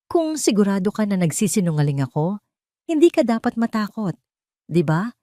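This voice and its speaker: A woman's voice: medium pitch, sing-song, moderate pace, mellow, no echo, studio quality, pitched above the performer's own voice, close to an everyday voice. A woman with a Filipino accent voicing a brave, defiant young single mother with a firm, challenging manner in an audio drama.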